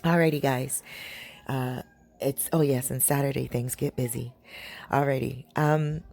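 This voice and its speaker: A woman with a raspy, dry-throated voice, chatting to her viewers as she tells a long story. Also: low-pitched, a little mellow, dry recording. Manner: chatty, addresses viewers directly. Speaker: storytelling vlogger. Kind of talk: casual storytelling. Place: home in a Caribbean town.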